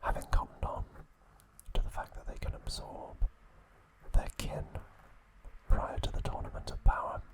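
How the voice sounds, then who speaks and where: A man whispering an ASMR review at home, gentle and relaxed, with a soft unvoiced whisper. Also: moderate pace, low-quality recording. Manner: gentle, relaxed, with occasional fillers. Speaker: ASMR channel host. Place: indoors at home.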